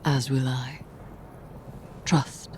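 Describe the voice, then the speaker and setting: A woman playing a brooding, haunted mystic in a video game, breathy, solemn and cryptic. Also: rumbling low, flat delivery, extremely slow, a little mellow, a little hiss or hum, full character voice. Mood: grave, intense. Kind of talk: video game dialogue acting.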